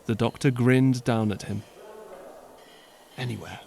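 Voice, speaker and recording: A man narrating an audio drama in an expressive, lively storytelling style. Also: medium pitch, normal speed.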